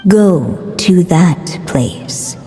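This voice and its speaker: A woman voicing an enigmatic storyteller reciting a fairy-tale riddle in a video game, measured and theatrical. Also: animated, mellow, soft background music. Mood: mysterious, solemn. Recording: long reverb, faint rumble behind.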